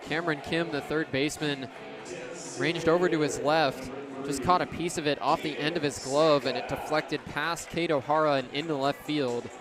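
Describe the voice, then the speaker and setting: A man's voice: a little high, steady tone, moderate pace, music in the background. A young man calling baseball play-by-play for radio in short, descriptive phrases. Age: young adult. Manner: clipped phrases, descriptive calls. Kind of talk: live sports commentary. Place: outdoor baseball stadium. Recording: ballpark crowd and outdoor noise. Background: crowd and ambient noise.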